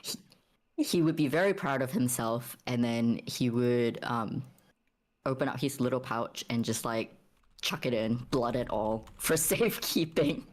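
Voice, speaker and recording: A woman playing a tabletop game, casually narrating what a character does in a halting, giggly way. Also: very deep voice.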